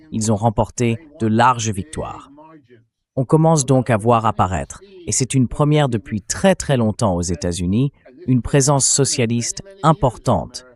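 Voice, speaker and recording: A synthetic male voice delivering translated interview commentary in a measured, explanatory way, as a political commentator. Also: mid-range voice, a little mellow. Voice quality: synthetic text-to-speech voice.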